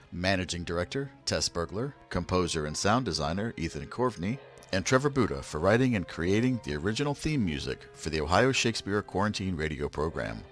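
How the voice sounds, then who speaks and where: A man's voice: deep voice, a bit bright. A man reading scripted announcements in the style of an old-time radio drama announcer.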